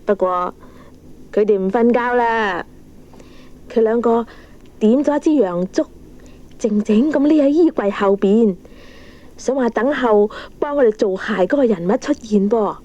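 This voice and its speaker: Higher-pitched Hong Kong woman storyteller. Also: measured pace, pauses often, dark tone. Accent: Hong Kong Cantonese. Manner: addresses young listeners, explanatory. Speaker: storyteller narrator. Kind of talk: scripted children's story narration. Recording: muffled old cassette.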